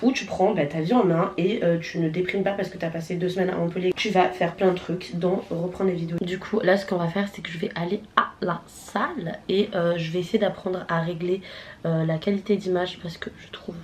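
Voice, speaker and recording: A woman's voice: lowish voice, steady tone, a little fast, darkish tone, a little hiss or hum. A woman in her late twenties from southern France, chatting casually in a vlog with many fillers and self-mocking asides. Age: late 20s. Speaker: vlogger. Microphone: handheld camera.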